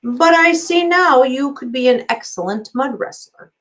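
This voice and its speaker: A woman's voice: high voice, expressive, a little slow, voice pushed up, rough recording. A woman voicing a hearty, blustering middle-aged father in a storybook reading, speaking in declarations. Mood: hearty, pompous. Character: blustering middle-aged father weighing up his daughter's suitors.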